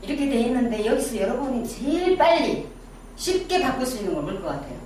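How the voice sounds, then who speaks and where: A woman's voice: fairly high pitch, big pitch swings, quick pace, darkish tone. A middle-aged woman with a Daegu Gyeongsang accent gives a casual classroom lecture on personal image. She quizzes her audience and adds self-deprecating asides. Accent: Daegu Gyeongsang dialect. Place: lecture room with a small audience. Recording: very echoey room, distant mic, background noise.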